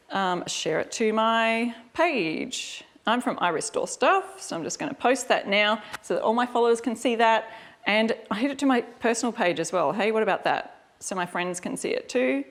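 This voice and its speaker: A woman's voice: medium pitch, moderate pace. A woman with an Australian accent giving a casual live craft tutorial, chatting informally and directly to her viewers. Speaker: host of a live craft demonstration. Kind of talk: casual livestream tutorial chat.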